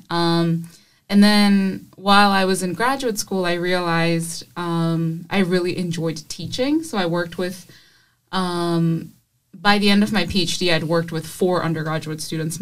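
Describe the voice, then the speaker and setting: A young woman from Nepal, an engineering professor, answering interview questions in a measured, thoughtful way with frequent fillers. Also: fairly low pitch. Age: young adult. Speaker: assistant professor of chemical engineering, guest.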